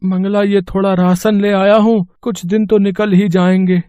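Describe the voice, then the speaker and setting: A man's voice: high voice, level tone, moderate pace, mellow, clear, resonant tone, dry recording, dull audio, natural voice. A man voicing a poor village labourer, a caring husband and father, earnest and fretful, in a moral-story cartoon. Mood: worried.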